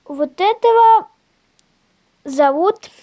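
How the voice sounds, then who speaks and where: A female host chatting casually in a toy unboxing video, showing things step by step in a simple, halting way. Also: very high-pitched, expressive, mellow. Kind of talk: casual unboxing vlog chat.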